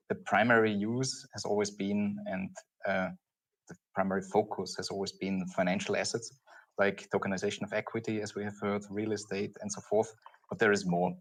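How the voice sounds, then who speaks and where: Austrian man, lowish voice, panel speaker who pauses and restarts often. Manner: halting, searching for words, chuckling. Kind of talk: conference panel discussion.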